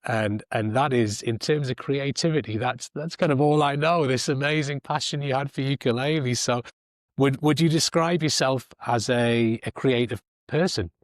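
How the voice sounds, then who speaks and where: A man's voice: mid-range voice, normal speed, no room sound, clean studio sound. A man hosting an interview podcast, talking reflectively and repeating words as he gathers his thoughts. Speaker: podcast host. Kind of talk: casual interview talk.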